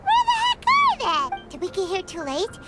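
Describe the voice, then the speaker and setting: Tiny excitable fairy companion, squeaky and extremely high, cheeky, swooping intonation, loud game music underneath. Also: female voice, moderate pace, exaggerated voice. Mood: alarmed. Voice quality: squeaky, childlike. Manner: refers to self in third person, exclamatory, cheeky. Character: small chirpy childlike fairy companion, excitable and indignant. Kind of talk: anime fantasy game voice acting.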